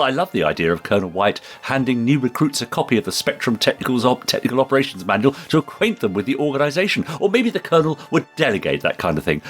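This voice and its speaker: A British man co-hosting a podcast, chatting and reading out listener messages in an animated, agreeable way. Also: mid-range voice, slightly rough. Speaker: podcast co-host and actor.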